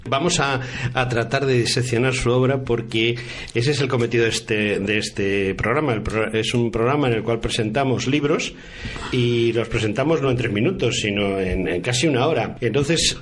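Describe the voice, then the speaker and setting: A man presents a radio interview in the accent of Spain. His voice sits at a middle pitch and he talks in a halting, long-winded, conversational manner. There is noticeable background noise. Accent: Spanish, from Spain.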